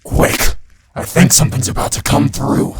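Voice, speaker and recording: A man's voice: normal speed, a little hiss or hum, natural voice. A man voicing an alert demon technician who reports to a superior. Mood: urgent, puzzled.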